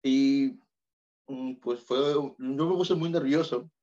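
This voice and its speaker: A man's voice: mid-range voice, slow, darkish tone, highs cut off. A Peruvian man with a Peruvian Spanish accent, telling anecdotes in casual chat with hesitant restarts. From Peru. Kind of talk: casual storytelling.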